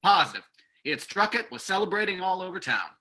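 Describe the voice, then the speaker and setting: Male actor as earnest security agent, radio play: very forceful, animated, practical. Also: high-pitched, fast talker, exaggerated voice. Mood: earnest, grim. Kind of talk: old-time radio drama acting.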